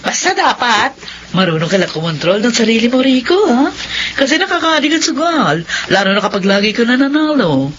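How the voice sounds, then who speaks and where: A woman's voice: a little high, very animated, fairly quick, fairly bright tone. An actress playing a breezy, worldly woman who encourages a gambling friend in a radio drama. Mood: knowing. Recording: dull, faintly noisy radio recording.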